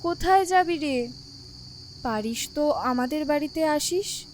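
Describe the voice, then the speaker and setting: A woman playing a kindly, motherly village housewife in an audio story, doting and exclamatory with drawn-out phrases. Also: high-pitched, lively intonation, a little hiss or hum. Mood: tender, wistful. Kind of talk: audio story drama acting.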